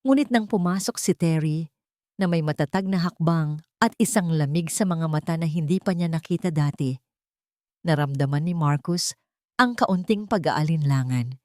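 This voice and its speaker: A woman's voice: sits low, moderate pace, a little mellow, no room sound, clean studio sound. A woman narrator reading a scripted dramatic story.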